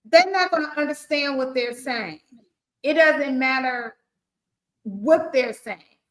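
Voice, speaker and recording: Emphatic woman host with a Southern accent; slow, higher-pitched delivery in an echoey room. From Chicago. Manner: emphatic, outspoken. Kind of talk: podcast discussion.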